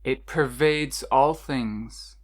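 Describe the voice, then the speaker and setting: A meditation guide narrating a scripted guided meditation in a measured, instructional way with deliberate pauses. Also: medium pitch.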